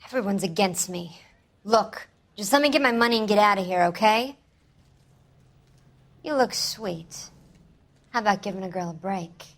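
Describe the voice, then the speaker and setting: An actress playing a brash, wheedling small-time crook in a video game, sassy and streetwise. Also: female voice, mid-range voice, normal speed, pauses often, close to an everyday voice. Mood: indignant, wheedling. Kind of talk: scripted video game dialogue.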